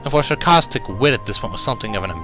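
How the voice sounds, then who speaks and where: A man's voice: mid-range voice, animated, very fast. A man narrating a first-person story in a dramatic reading, with music behind him. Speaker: fan fiction reader. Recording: music under the voice.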